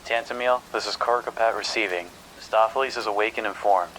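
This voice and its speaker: Man playing a laconic, low-voiced radio operator. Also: a little fast. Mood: laconic, procedural. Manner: clipped, low-key procedural. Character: laconic male radio operator at a containment site. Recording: radio voice with faint noise.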